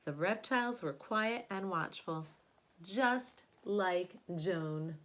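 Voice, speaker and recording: A woman reading a picture book aloud like a teacher at bedtime, with expressive emphasis on key words. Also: on the low side, slow.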